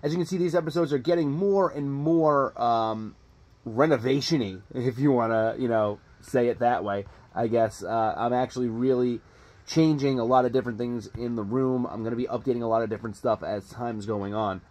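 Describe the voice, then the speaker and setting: A man in his thirties hosting a casual toy collecting vlog in a relaxed, chatty way with plenty of fillers, filmed at home on a camera held at arm's length. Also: medium pitch, moderate pace. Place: home toy room, in front of green screen.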